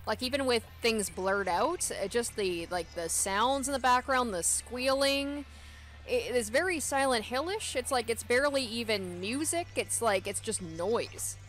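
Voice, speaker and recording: A woman's voice: fairly high pitch, lively intonation, measured pace, a bit bright, faint music behind. A woman hosting a let's play, reading a visual novel aloud and adding casual commentary. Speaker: let's play host and narrator.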